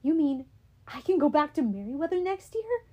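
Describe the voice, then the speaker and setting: A woman's voice: high-pitched, very animated, normal speed, warm tone, dull audio, voice pushed up. A woman voicing a loyal, eager teenage boy who speaks earnestly.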